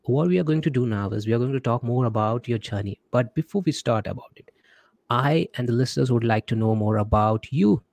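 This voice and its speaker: A man's voice: mid-range voice, a little fast, creaky, a little mellow, no echo. A man with an Indian accent hosting a casual interview, chatty, often repeating his words and acting out the messages he quotes. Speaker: podcast host.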